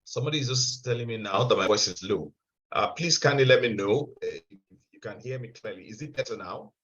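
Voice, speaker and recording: Halting man teaching over a call. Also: lowish voice, lively intonation, fairly bright tone. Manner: halting, repeats words, checks if heard. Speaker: webinar presenter. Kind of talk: online investment seminar. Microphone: video call. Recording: dull lo-fi video call audio.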